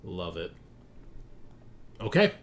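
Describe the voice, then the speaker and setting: A man's voice: sits low, wildly swooping pitch, slow. Male game master over noisy home mic. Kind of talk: running a tabletop roleplaying game, casual. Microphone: home mic on online group call. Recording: clear background noise on home mic.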